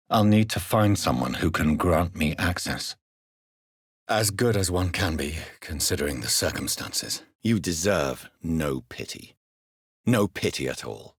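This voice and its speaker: A tormented British gentleman vampire for a video game. His voice is very deep and husky, recorded close and dry. He speaks formally and articulately, with grave, stern intensity. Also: dry recording, full character voice. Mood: brooding, troubled, then stern, menacing. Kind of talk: video game voice acting, scripted dramatic dialogue.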